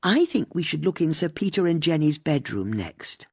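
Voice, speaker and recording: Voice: mid-range voice, sing-song, normal speed, gravelly, slightly rough, no room sound, studio quality, voice pushed up, exaggerated voice. A narrator voicing an earnest, proper, conscientious woman in an audiobook mystery. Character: Bex, earnest, conscientious, well-meaning woman.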